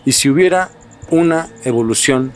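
A man's voice: medium pitch, normal speed. A man with a Mexican accent hosting a podcast, explaining things and chatting in an informal, slangy way. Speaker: podcast host. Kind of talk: podcast talk, explaining and casual chat.

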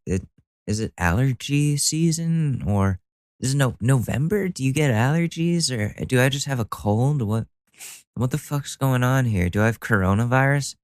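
A man's voice: mid-range voice, clean tone, dry recording, studio quality. A young man hosting a solo podcast, talking casually with a stuffy nose, rambling and joking at his own expense with the odd swear word. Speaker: podcast host.

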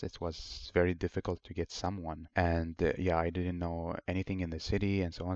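A young man with an Iraqi Arabic accent hosts a solo podcast, telling his life story in a very low voice. He speaks earnestly and thoughtfully and often pauses to find his words. The recording is close and dry, with a slightly dull, muffled sound. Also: no echo. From Iraq. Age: 20s. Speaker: podcast host.

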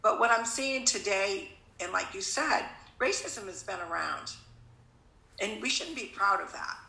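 A woman's voice: mid-range voice, expressive, a little slow, creaky, bright, forceful tone, echoey room, poor audio. A woman in her fifties from Connecticut, talking earnestly in a casual conversation, rambling and often restarting her sentences. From Connecticut, USA.

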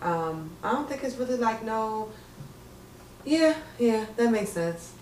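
A woman in her twenties in a casual group chat, talking in a loose, expressive way. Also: a little high, slow, a little hiss or hum. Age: 20s. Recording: roomy, distant mic.

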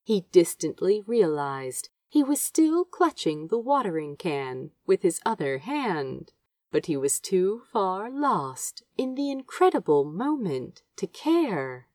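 Woman reading fanfic aloud, takes her time, tender and dramatic, pitch moves around a lot. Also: very animated, takes it slowly, a little mellow, dry recording, clean studio sound. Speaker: amateur audiobook reader. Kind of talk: expressive fan fiction narration.

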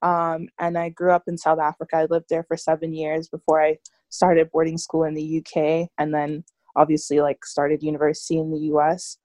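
A woman's voice: sits low, monotone, moderate pace, darkish tone, dry recording. A young woman from Nigeria, a student guest in an interview, talking reflectively with many fillers like "like" and "you know". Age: young adult. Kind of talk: casual interview conversation.

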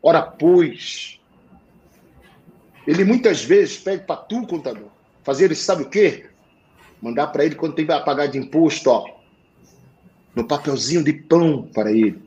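Blunt animated man with Portuguese accent. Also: a little high, measured pace, long pauses, highs cut off. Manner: blunt, animated, slangy, swings to loud emphasis. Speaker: sales coach for accountants. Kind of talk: live talk show advice.

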